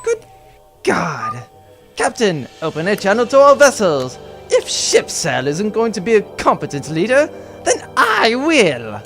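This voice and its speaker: A bombastic male space baron, pompous and theatrical, for a comic radio space opera. The voice is high and swoops dramatically as it rants, over music. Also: wildly swooping pitch, a little slow, full character voice. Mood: indignant ranting. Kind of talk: comic sci-fi audio drama acting. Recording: music behind the voice.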